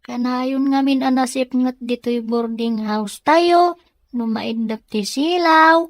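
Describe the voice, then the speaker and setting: Young girl, voiced by a woman: eager, wheedling, high pitch, dry close recording, radio drama acting. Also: slow, no room sound. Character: eager, pleading young daughter.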